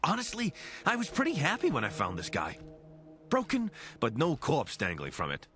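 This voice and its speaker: Video game companion: a wry, friendly scavenger, bright and animated, casual and talkative. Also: male voice, fairly high pitch, moderate pace, soft background music. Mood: wry, upbeat. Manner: chatty, dryly humorous, casual.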